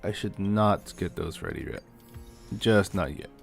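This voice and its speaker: A man with a fairly low, gravelly voice talks casually to his livestream as he plays. He mutters offhand remarks to himself and swears mildly. Music plays softly behind him. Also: a little slow. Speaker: streamer, host. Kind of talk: casual livestream chat while gaming. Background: game music.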